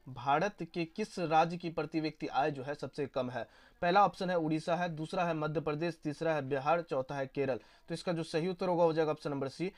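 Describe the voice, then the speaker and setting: A young man, walking students through exam questions and repeating each answer. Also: fairly high pitch. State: hoarse. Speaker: teacher.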